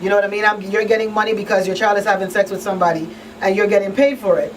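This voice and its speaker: A woman's voice: medium pitch, fairly even intonation, rapid-fire. A woman hosting a radio talk show, speaking conversationally and emphatically, repeating phrases to drive her points home. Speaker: talk show host and crisis counselor. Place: radio studio. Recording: noisy radio room, slightly distant mic.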